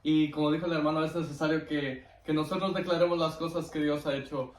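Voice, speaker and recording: Man, devout and halting testimony, slightly high voice, away from the mic. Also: level tone. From Guatemala. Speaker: interview guest giving testimony. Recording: roomy echo, distant microphone.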